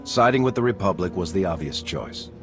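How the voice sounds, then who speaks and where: An actor playing a steadfast veteran military commander in a video game, speaking in an earnest, measured way. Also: male voice, sits low, expressive, music in the background. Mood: resolute. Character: steadfast gruff male military commander, veteran war hero. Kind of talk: video game cutscene acting.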